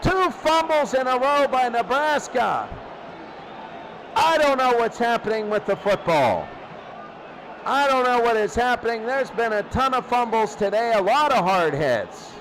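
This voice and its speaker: High-pitched man calling football, noisy recording. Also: fairly bright tone. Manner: repeats phrases, short clipped calls. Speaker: play-by-play announcer of a football game. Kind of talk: excitable sports play-by-play commentary.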